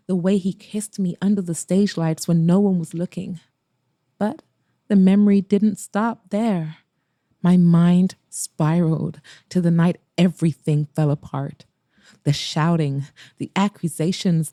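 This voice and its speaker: A woman podcast host and narrator reading romance fiction in a dramatic, deliberate way. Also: lowish voice, steady tone, mellow, no room sound, studio quality. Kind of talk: first-person romance audiobook narration.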